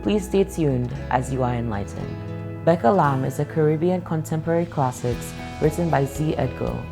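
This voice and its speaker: A woman's voice: low voice, expressive, normal speed, gravelly. A woman giving a scripted introduction as presenter, with guitar music playing behind. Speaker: student presenter.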